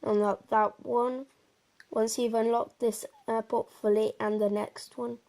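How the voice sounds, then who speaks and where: Slow, even-toned female game commentator. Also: a little high, gravelly. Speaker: presenter of a game walkthrough. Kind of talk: casual gameplay commentary.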